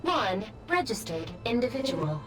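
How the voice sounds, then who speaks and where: A woman's voice: on the high side, sing-song, a little slow. A woman voicing a formal facility computer in a video game, giving clipped system announcements. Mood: formal, informative. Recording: loud game music behind voice.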